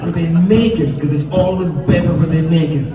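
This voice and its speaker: A man's voice: fairly high pitch, fairly even intonation, moderate pace, very noisy. Jokey Cork-accented man telling a story on stage, mic'd from a distance in an echoey hall. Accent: Irish, Cork. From Ireland. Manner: jokey, laughing through his story. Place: large hall with audience. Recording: echoey hall, far off, crowd.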